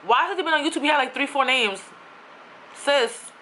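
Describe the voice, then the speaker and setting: A woman's voice: high-pitched, moderate pace, slight background noise, low-quality recording. A young woman from New York, ranting casually on her own vlog in a chatty, slangy way. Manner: chatty, animated, with slang and asides. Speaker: YouTuber.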